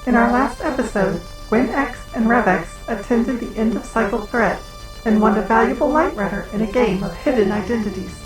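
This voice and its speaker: A woman's voice: on the high side, moderate pace. A woman reading a scripted recap as the narrator of a show. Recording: distant, echoey, music behind. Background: theme music.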